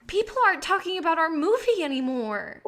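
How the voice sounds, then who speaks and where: Woman acting an exasperated, high bright-voiced film colleague. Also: very animated, brisk, a little hiss or hum, heavily acted. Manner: reproving, insistent. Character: exasperated, level-headed woman on an indie film team. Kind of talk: staged play reading.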